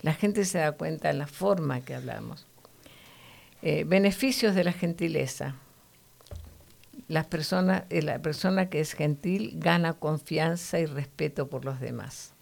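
Low, gravelly-voiced woman teaching the Bible. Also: unhurried, darkish tone. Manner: earnest, teacherly, frequent "¿no?" tags.

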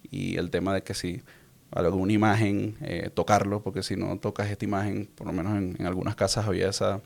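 Low, gravelly young Venezuelan man. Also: little pitch movement, fairly quick. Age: young adult. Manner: hesitant, meandering, repeats words. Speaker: interview guest. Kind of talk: casual personal testimony.

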